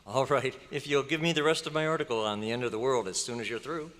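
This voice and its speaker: A man's voice: medium pitch, quick pace, a bit bright, lightly acted. A man playing a polite, easygoing newspaper reporter in an old-time radio drama, mild and conversational. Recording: echoey hotel hall live performance.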